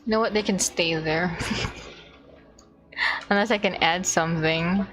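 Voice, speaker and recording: Slow, unhurried woman gamer thinking aloud. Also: on the low side, fairly bright tone, low-quality recording. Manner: muttering to self in short fragments. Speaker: livestreamer playing a video game. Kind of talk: casual gaming stream chat, thinking aloud.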